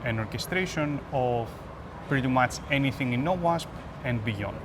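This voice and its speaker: A man from Greece speaks with a Greek accent as an interview guest. His voice sits at a medium pitch and he pauses between phrases as he explains things. Noticeable urban background noise runs behind him. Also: expressive. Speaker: guest, application security expert. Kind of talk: conversational interview answers. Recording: noisy urban ambience behind voice.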